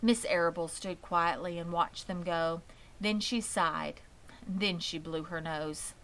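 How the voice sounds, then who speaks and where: A woman's voice: lowish voice, fairly even intonation, takes it slowly, fairly bright tone, a little hiss or hum, low-quality recording. A woman reading a children's story aloud as scripted narration. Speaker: audiobook reader.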